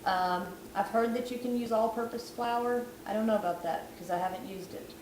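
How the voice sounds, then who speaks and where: A woman's voice: on the high side, normal speed. Woman, losing her voice, chatty, self-deprecating, echoey room, audible background noise. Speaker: vlogger. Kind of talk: casual cooking tutorial. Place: home kitchen at night. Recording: echoey kitchen, far from camera, background noise.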